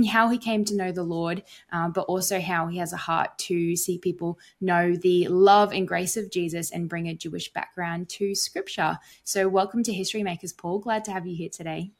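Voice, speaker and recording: A woman's voice: fairly low pitch, steady tone, moderate pace, hardly any pauses. A woman hosting an interview show, introducing her guest and posing long questions in a conversational way.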